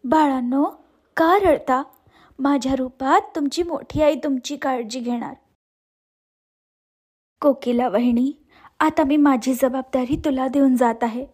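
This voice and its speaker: Poor mother in a cartoon fable, played by a female narrator: high-pitched, gentle, pleading. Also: measured pace, pauses often. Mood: tender, sorrowful. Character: gentle, poor mother entrusting her daughters. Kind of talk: cartoon moral story voice acting.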